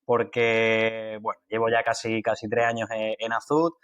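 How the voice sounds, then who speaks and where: A man from Málaga with an Andalusian accent, a guest talking through an interview in a halting way with many fillers and restarts. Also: fairly low pitch, completely flat delivery, fairly bright tone, smooth, no echo, dull audio. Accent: Andalusian Spanish from Málaga. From Málaga, Spain. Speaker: guest, water industry engineer. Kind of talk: conversational interview.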